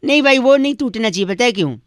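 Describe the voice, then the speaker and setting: A man's voice: extremely high pitch, expressive, quick pace, dry recording. A man voicing a cheeky, mock-polite prankster who makes comic radio prank calls in an earnest, rambling way. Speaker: radio prank-call host. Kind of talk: comic prank phone call.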